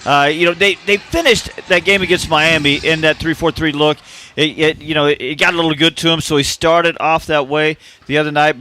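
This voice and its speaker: A man giving casual radio soccer analysis in a folksy, chatty way, with many "uh" pauses. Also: on the high side, sharp tone. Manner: folksy and chatty, frequent uh fillers.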